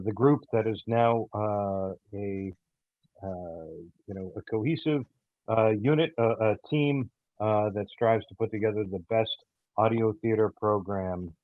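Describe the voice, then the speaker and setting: A man giving casual interview answers as a guest writer, in a halting way full of ums and pauses. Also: fairly low pitch, no room sound, narrow, tinny audio. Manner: halting, filler-heavy delivery. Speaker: guest, audio theater writer.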